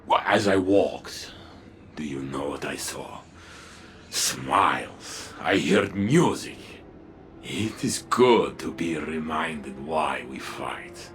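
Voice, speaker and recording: An actor playing a weary, humble, kindly old war legend in a video game, speaking in slow, reflective, gentle phrases. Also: male voice, extremely low pitch, animated, a little hiss or hum, heavily acted. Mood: gently hopeful. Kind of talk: video game story dialogue.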